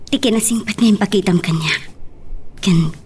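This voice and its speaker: A woman playing a kind, principled young sweetheart in a radio drama, earnest and gently moralizing. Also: mid-range voice, normal speed, husky. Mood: tender.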